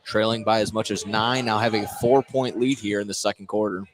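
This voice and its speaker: Lowish-voiced American man calling basketball. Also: steady tone, fairly quick, crowd noise. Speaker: play-by-play announcer. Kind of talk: live basketball commentary. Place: indoor basketball gym, broadcast commentary. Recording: noisy live gym ambience.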